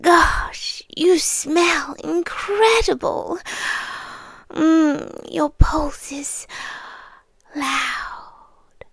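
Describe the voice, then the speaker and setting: British woman doing a seductive yandere vampire gf asmr, high breathy voice, whispery, super close and dry, intimate. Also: no room sound, exaggerated voice. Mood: hungry, entranced. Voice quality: breathy, often dropping into whispers. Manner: slow, intimate, lingering delivery. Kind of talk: ASMR roleplay.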